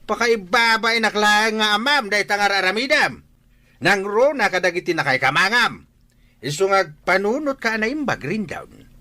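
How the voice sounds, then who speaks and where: A man's voice: sits high, expressive, normal speed, sharp tone, exaggerated voice. An actor playing a stern older father in a radio drama, scolding and lecturing his married son in exasperation.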